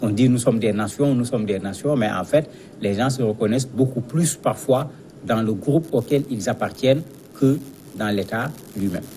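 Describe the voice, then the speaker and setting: A man with a mid-pitched voice gives explanatory commentary as a studio guest. He lays out his points didactically, often repeating a word while he finds the next phrase. There is faint noise behind him. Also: normal speed, dark tone. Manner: didactic, repeats words as they search for phrasing. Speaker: guest analyst. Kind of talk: explanatory commentary in a TV news interview.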